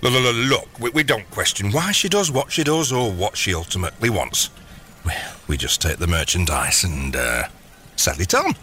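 A bumbling, middle-aged gangster dad in a comic audio drama. His bright voice leaps all over the place as he stammers, dodges and tries to soothe, with a faint noise behind him. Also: fairly low pitch, very animated, moderate pace. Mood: placating, defensive. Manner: hesitant, flustered, placating. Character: bumbling, evasive long-absent dad turned gangster boss. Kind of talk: audio comedy drama acting.

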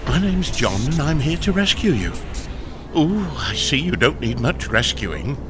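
A man's voice: fairly high pitch, very animated, measured pace, music in the background. A man playing a deluded, awkwardly cheerful would-be rescuer in a sci-fi audio comedy, blandly upbeat. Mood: blithely cheerful. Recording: clear outdoor ambient noise behind voice.

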